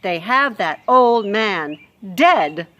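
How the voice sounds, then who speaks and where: A woman voicing a tall, sharp-tongued housekeeper who scolds and declares loudly. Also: very high voice, sing-song, slow, pitched above the performer's own voice, exaggerated voice. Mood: scornful.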